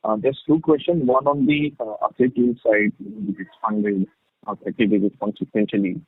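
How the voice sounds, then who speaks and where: A man's voice: fairly low pitch, steady tone, moderate pace, breathy edge, no room sound. A man asking questions as an analyst caller on an earnings call, over a muffled phone line.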